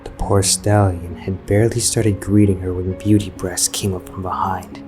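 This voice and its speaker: Low-voiced male story narrator. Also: level tone, moderate pace. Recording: music behind the voice.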